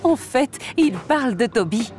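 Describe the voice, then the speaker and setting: A woman's voice: a little high, big pitch swings, unhurried, over music. A woman narrating a French cartoon episode in a storytelling manner.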